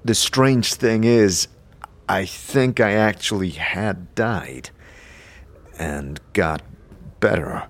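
A man's voice: fairly low pitch, extremely slow, long pauses, no room sound. A man playing an amnesiac undead wanderer in a fantasy audio drama, dry, earnest and understated. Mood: hesitant, earnest. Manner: hesitant, understated. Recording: faint background noise and ambience.

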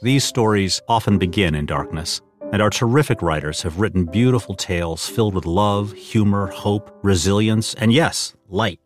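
A man hosting and narrating a scripted audio drama trailer with measured, dramatic storytelling. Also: fairly low pitch, faint music behind. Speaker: host and narrator.

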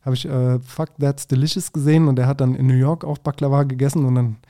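A man with a mellow, soft-edged voice in casual podcast conversation. His pitch sits in an ordinary male range. He talks informally, mixing in slang and English expressions. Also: little pitch movement, fast. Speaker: food podcast co-host.

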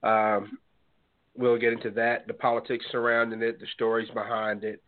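A man's voice: mid-range voice, little pitch movement. Stuffy-nosed man hosting over a phone line. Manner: measured, earnest, with fillers. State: slightly stuffed up from a cold. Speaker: radio show host. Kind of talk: call-in radio show monologue. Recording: tinny phone-line sound.

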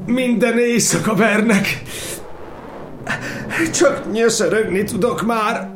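An actor as a high-voiced, lamenting beaten prisoner-cardinal. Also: male voice, background noise, close to an everyday voice. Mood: suffering, defiant. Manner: drawn-out, theatrical, melodic delivery. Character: beaten imprisoned cardinal lamenting in half-sung verse. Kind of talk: chanted, sung monodrama verse. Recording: echoey, loud music over the voice.

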